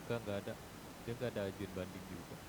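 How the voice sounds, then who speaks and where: A man chatting casually with fellow gamers over voice chat, matter-of-fact and quick to contradict. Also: steady tone. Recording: clearly noisy game voice chat, rumble and buzz.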